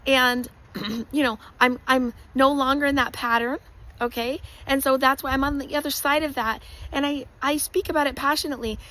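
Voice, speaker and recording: Hoarse high-voiced woman, passionate. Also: steady tone, normal speed, bright, forceful tone, low-quality recording. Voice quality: frequent throat clearing. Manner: earnest, passionate, direct address with rhetorical questions. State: raspy, hoarse voice. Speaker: motivational speaker. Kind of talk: motivational monologue.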